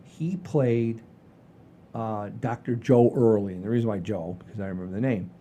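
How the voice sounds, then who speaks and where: An older man hosting a casual podcast, rambling in a folksy, halting way with repeats and the odd mild swear. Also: on the low side, mellow, slight background noise. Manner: folksy, mildly profane, halting and repetitive. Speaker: podcast host.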